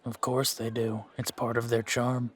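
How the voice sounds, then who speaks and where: A man playing a gruff, world-weary fantasy monster hunter in an audio roleplay, wry and aloof, with a laconic, deadpan way of talking. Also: mid-range voice, measured pace. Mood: dry, weary. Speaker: voice actor in fan-made audio roleplay. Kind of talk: slow in-character audio roleplay.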